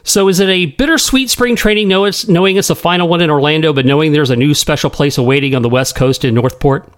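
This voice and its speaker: A man hosting a sports podcast, his voice a little raspy, chatting with frequent pauses and fillers. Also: fairly high pitch, dry recording, studio quality. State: slightly raspy voice.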